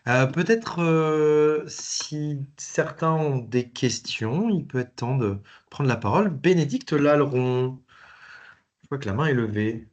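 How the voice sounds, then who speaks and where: A woman moderating a webinar, thanking the speaker and opening questions in a polite, measured way. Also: extremely low pitch, a little mellow.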